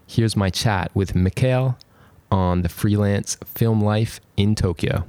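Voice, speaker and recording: A man hosting a podcast interview, chatty and relaxed, introducing his guest and asking questions. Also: fairly low pitch, slow pace, background noise. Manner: relaxed, chatty, uses fillers like "cool, cool". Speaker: podcast host.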